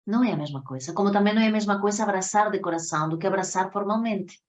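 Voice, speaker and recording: A woman's voice: mid-range voice, very fast. A woman from Spain with a Spanish accent, teaching an introductory online workshop in a didactic way, often checking in with her listeners. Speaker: psychotherapy teacher.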